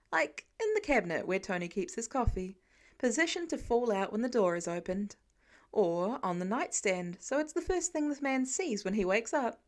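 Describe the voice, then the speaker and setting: A woman reading a scripted story aloud as an audiobook narrator. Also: on the high side.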